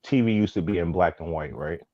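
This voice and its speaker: Deep-voiced, rambling man in his thirties. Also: a little fast, warm tone, dry recording, muffled recording. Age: 30s. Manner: rambling, chatty, laughs easily. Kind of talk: casual panel chat.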